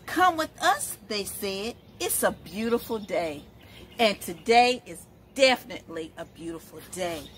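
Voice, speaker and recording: A middle-aged woman reading a picture book aloud to young children, expressive and asking questions as she goes. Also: mid-range voice, unhurried, a little hiss or hum. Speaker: storytime host, literacy educator. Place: outdoors, in front of leafy shrubs.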